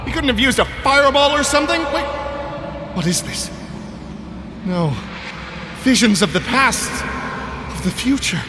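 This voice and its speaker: A high-voiced, anxious adventuring sidekick, acted by a man. He sounds sincere and wry, in fantasy audio drama style. There is hall reverb and clear noise behind him. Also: expressive, a little slow, pauses often. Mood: distraught. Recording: hall reverb with noisy background.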